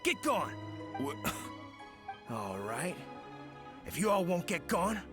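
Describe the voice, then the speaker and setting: A shady loiterer barks at people to get lost. His delivery is brusque and swings in pitch. Game music runs clearly behind him. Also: sing-song, takes it slowly, full character voice. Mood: rude. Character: shady gruff male loiterer, rude and defensive. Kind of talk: video game dialogue.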